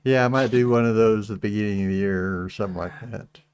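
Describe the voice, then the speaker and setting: A middle-aged man with a Texas accent, hosting a casual livestream chat, speaking slowly with many halting restarts. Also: lowish voice, a little mellow, clear, resonant tone. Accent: Texan. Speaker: channel host.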